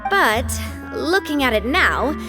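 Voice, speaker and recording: An actress playing a lively, talkative young woman who leads a group, in a game scene. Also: high voice, slow, full character voice. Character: cheerful young woman gang boss. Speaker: leader of a group. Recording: game audio with music behind.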